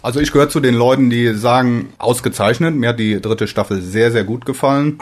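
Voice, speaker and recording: A man's voice: medium pitch, fairly quick. A man speaking as a podcast guest in a casual fan discussion, feeling out his phrases and often repeating words.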